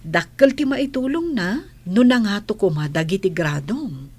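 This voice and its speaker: A woman's voice: mid-range voice, animated, measured pace, darkish tone, slightly rough. A woman playing a hardworking, earnest mother in a radio drama, counselling with a Filipino accent. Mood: earnest, hopeful. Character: hardworking mother, devout, earnestly urging her child's schooling.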